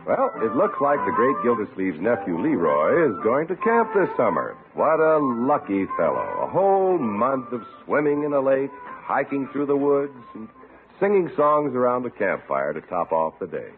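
A man's voice: mid-range voice, very animated, normal speed, phone-quality sound, over music. A man announcing in a polished, enthusiastic 1950s scripted radio style. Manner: enthusiastic, polished sales delivery. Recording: thin old radio broadcast recording.

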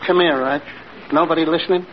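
An actor playing a slick, self-important wheeler-dealer in old-time radio comedy, smooth-talking and conspiratorial. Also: male voice, fairly high pitch, fairly even intonation, a little fast, close to an everyday voice. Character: slick, bluffing male wheeler-dealer and swindler. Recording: muffled noisy vintage radio recording.

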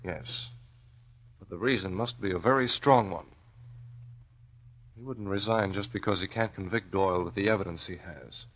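Resolute masked crimefighter, low husky voice, terse command, old-time radio drama. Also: male voice, normal speed, long pauses, fairly bright tone. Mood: pondering, resolute. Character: masked vigilante man, resolute and shrewd. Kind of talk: 1940s radio acting. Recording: muffled old radio recording.

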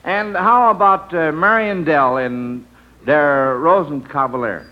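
A man with an American accent hosting an old radio quiz, phrasing questions deliberately with some hesitation. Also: a little high. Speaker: quiz show host. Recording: muffled old 1940s radio recording.

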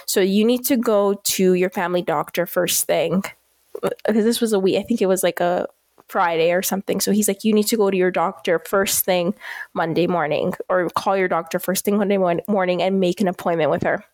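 A woman's voice: lowish voice, fairly even intonation, fairly quick, darkish tone, no room sound. A woman, talking candidly and informally as a podcast guest telling her own story. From Canada. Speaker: podcast guest recounting personal experience.

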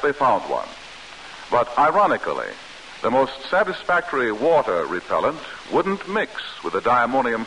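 1940s radio pitchman. American male, animated inflection, formal measured storytelling, faint hiss of vintage broadcast. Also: rough recording. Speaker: commercial announcer. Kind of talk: 1940s radio sponsor message, scripted narration.

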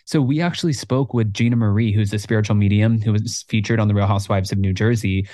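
A man in his twenties co-hosting a podcast in casual chat, talking in an animated way and jumping higher when he quotes himself. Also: fast talker, dry recording, clean studio sound. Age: 20s. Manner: animated, jumps up in pitch when quoting himself. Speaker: podcast co-host.

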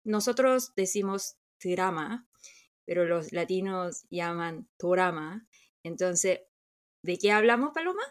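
A woman's voice: on the low side, lively intonation, takes it slowly. A woman whose first language is Korean, speaking with a Korean accent touched with Chilean, co-hosting a casual podcast chat, laughing often and sometimes hunting for words. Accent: Korean, with a slight Chilean touch. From South Korea. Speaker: podcast co-host.

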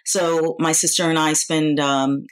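A woman's voice: low voice, level tone, measured pace, low-quality recording. An American woman in her fifties from New York, talking as a guest speaker in a casual, conversational interview. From New York, United States. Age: 50s.

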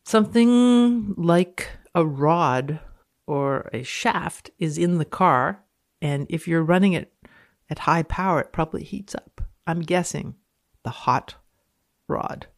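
A woman's voice: on the low side, expressive, slow. A woman with a Canadian accent, reading texts aloud dramatically and commenting casually in a playful, mock-authoritative way. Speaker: guest reader and commentator on a podcast.

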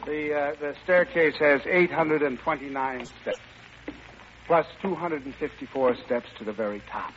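Man acting suave flirtatious playboy, fairly high voice, playful banter, smooth-talking, vintage radio comedy, slightly noisy recording. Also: normal speed, a bit bright, muffled recording, natural voice. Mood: playful, eager.

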